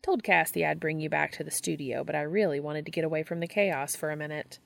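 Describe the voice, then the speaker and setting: A woman's voice: low-pitched, fast, creaky, no echo. A woman voicing a breezy, teasing male TV prankster with a casual, familiar manner in an audiobook.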